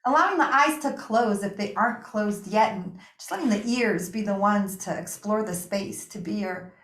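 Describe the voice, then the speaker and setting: A woman leading a guided meditation as a yoga nidra teacher, speaking in a gentle, soothing, unhurried way with pauses. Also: mid-range voice. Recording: echoey room.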